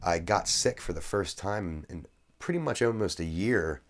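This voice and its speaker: Hoarse, low-voiced man ranting indignantly. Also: animated, moderate pace. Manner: indignant, opinionated ranting with frequent self-restarts. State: hoarse from a cold. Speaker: video creator. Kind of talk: casual monologue on economic history.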